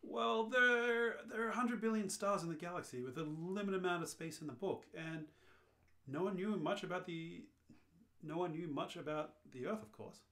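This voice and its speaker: Amateur reader as worldly alien hitchhiker, deadpan, matter-of-fact, medium pitch, radio play dialogue. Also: moderate pace, close to an everyday voice. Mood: dry, unfazed.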